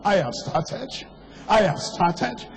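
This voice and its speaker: An older man preaches an urgent evangelistic sermon in a high, strained voice that is hoarse and giving out. He repeats his phrases and presses listeners with questions, his words ringing with the long reverb of a large hall. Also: big pitch swings, takes it slowly. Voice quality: strained, worn voice. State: hoarse, voice going. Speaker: pastor.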